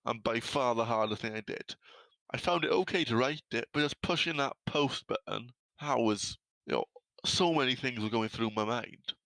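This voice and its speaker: A young man with a Welsh accent talks openly and earnestly, catching on the first sounds of some words as he stammers. His voice is bright and clear, at a middle pitch. The recording is dry and close. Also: dry recording, rough recording. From South Wales. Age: young adult. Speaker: guest. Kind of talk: podcast interview.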